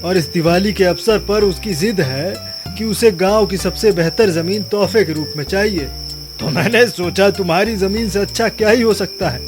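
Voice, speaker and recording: Male actor as wealthy village headman, high voice, smooth coaxing talk, scheming, cartoon storytelling. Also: normal speed, over music, close to an everyday voice. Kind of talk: animated moral bedtime story.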